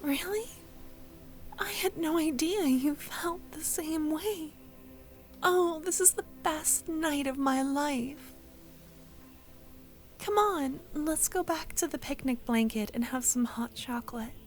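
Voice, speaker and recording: A woman acting as a sweet, wistful young woman speaks in a soft, breathy, near-whispered voice on the high side. She takes her time, tender and a little hesitant, like a devoted friend in an ASMR roleplay. Gentle music plays behind her. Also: slow. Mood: happy, loving.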